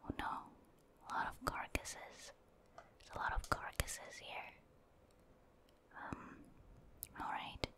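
A woman whispering casual, gentle ASMR commentary as she thinks aloud while playing a video game. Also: slow, long pauses. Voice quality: breathy, voiceless whisper. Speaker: gaming ASMR streamer.

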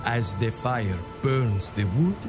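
Solemn game cleric, mid-pitched, deliberate, widely swinging intonation, under loud music. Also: male voice, animated. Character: solemn male cleric instructing penitents at a shrine. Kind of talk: scripted game dialogue, ritual instruction.

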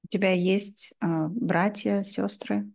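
A woman's voice: mid-range voice, steady tone, slow, gravelly, a little mellow. A woman in her thirties hosting a simple conversational interview for language learners, recorded at home on a desk microphone. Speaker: host, interviewer. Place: indoor room at home, video call.

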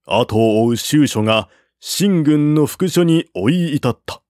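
A man narrating a classical war novel as an audiobook, in a measured, even storytelling manner. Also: fairly high pitch, dry recording, studio quality.

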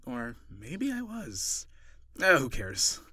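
Man playing a friendly male ghost, audio roleplay, lonely, kind, awkward and hesitant, mid-range pitch, dry close recording. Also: wildly swooping pitch, slow, husky, dry recording, heavily acted. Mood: gentle, comforting. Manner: hesitant, conversational, soft-hearted. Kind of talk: improvised audio roleplay, intimate one-sided conversation.

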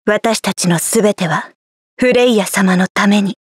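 A woman playing a cool, devoted young nightmare fairy in a video game, with a breathy voice. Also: mid-range voice, a little fast, no room sound, studio quality, close to an everyday voice. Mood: somber. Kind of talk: anime-style video game voice acting.

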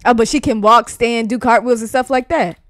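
A woman's voice: a little high, fairly even intonation, a little fast, no echo, clean studio sound, natural voice. A woman in an improvised game roleplay, playing a flustered, bossy young woman in a dramatic, exclamatory way. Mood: exasperated. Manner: dramatic, exclamatory, repeats words. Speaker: player voicing a horror-comedy parody.

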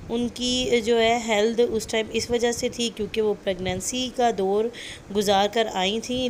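A woman's voice: mid-range voice, slight background noise. A woman with a Pakistani Urdu accent narrating celebrity entertainment news in a chatty voice-over, repeating herself and slipping into English phrases. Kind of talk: voice-over commentary on celebrity clips.

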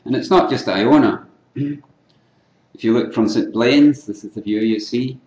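An older Scottish man giving an unscripted academic lecture at a conference, often clearing his throat and restarting phrases. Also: mid-range voice, unhurried, darkish tone. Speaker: keynote lecturer in archaeology. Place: lecture hall. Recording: echoey lecture hall.

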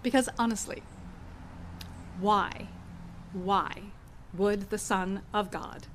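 A middle-aged woman pastor preaching a sermon in an outdoor church garden, speaking into a stand mic at a lectern with expressive pauses. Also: medium pitch, a little hiss or hum.

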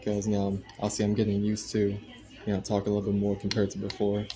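A man in his twenties chatting casually on a live stream, soft-spoken and hesitant, with many fillers. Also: on the low side, completely flat delivery, fast talker, noisy background. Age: 20s. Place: indoor room by a window.

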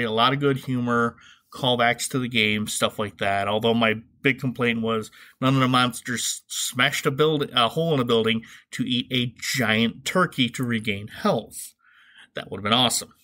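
Bright, forceful man reviewing movies. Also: mid-range voice. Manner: opinionated, wry, informal. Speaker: podcast host. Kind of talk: casual comedic movie review commentary.

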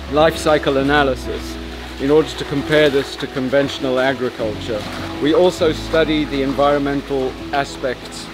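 An older man, an aquaculture technician, speaking in a documentary interview, measured and reflective with pauses. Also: medium pitch. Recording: loud music bed behind.

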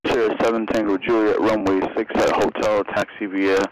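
A man's voice: fairly low pitch, level tone, moderate pace, poor audio. A man working as an air traffic controller, giving clipped instructions over aviation radio.

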